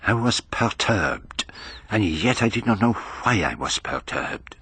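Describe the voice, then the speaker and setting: An actor playing a shrewd foreign detective, measured and formal, in a radio drama. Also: male voice, on the low side, very animated, bright, forceful tone, breathy edge, no echo, exaggerated voice. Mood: pensive. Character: shrewd, courteous foreign male detective, inwardly brooding. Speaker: detective narrating his own case. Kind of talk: radio drama narration and dialogue.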